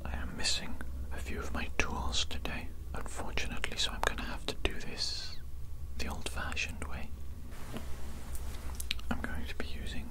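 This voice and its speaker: An evil mad doctor acted out by a man whispers in an ASMR roleplay. He is mock-polite and softly menacing, repeating his phrases as he rambles. The voice is unvoiced and intimate, close to the microphone. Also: normal speed. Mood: soothing, creepy.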